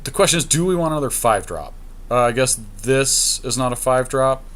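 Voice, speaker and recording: A man's voice: mid-range voice, lively intonation, a little fast, fairly bright tone. Casual male gaming podcast co-host. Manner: informal, profane. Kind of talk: casual card game draft commentary with a friend.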